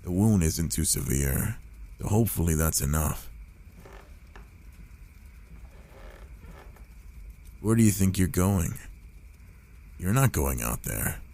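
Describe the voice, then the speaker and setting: A man voicing a gruff, reclusive werewolf who is reluctantly caring and protective, speaking haltingly in an ASMR boyfriend roleplay. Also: low voice, lively intonation, mellow. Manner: slow, halting, murmured delivery with pauses.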